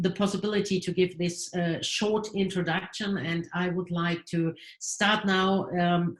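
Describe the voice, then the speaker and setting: A woman moderates an online panel discussion, pausing often with fillers. Her voice sits somewhat low, and the room around her rings with echo. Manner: hesitant, many fillers. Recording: roomy echo.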